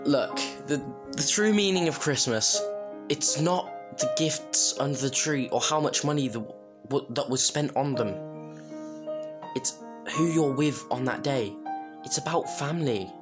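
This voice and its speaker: Halting, informal talker over loud music. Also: mid-range voice, lively intonation. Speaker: fan fiction reader. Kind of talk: informal reflective talk to listeners.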